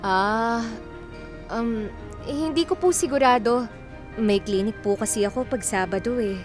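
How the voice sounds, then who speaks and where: An actress plays a kind provincial woman doctor who was once a child star. Her voice sits somewhat high, and she gives gentle advice and playful exclamations in radio drama style, with music clearly playing behind her. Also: a little slow, close to an everyday voice. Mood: reluctant. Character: kind provincial pediatrician, former teen star, modest and amused. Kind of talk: Filipino radio drama acting. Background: background music.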